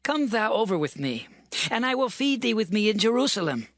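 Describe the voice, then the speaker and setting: A man's voice: sits high, sing-song, fairly quick, a bit bright, dry recording, clean studio sound, heavily acted. An actor playing a grave, commanding king in a dramatized scripture, with declamatory, authoritative delivery. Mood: generous, solemn.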